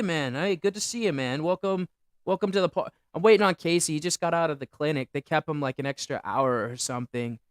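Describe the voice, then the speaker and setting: A young man hosting a solo livestream, rambling and boasting loudly about himself. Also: fairly high pitch, clear, resonant tone, dry recording, studio quality. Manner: boastful, rambling, self-aggrandizing. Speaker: livestream host.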